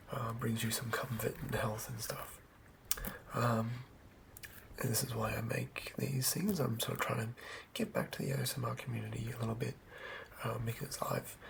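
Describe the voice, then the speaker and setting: An ASMR host and game master speaking in a breathy whisper, rambling unscripted and thinking aloud in a hushed way. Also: mid-range voice, noisy background.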